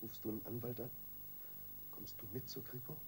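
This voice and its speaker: An actor playing a guilt-ridden, weak-willed husband in a radio crime drama, halting and defensive. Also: male voice, mid-range voice, flat delivery, noisy background, natural voice. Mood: agitated, anxious.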